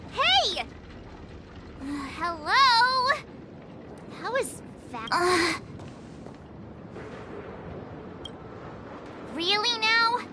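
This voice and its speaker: A woman's voice: very high voice, heavy background noise, full character voice. A woman playing a perky, suspicious young girl adventurer in a video game, with feisty anime-style chatter. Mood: indignant, baffled. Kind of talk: video game character acting. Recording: game music behind.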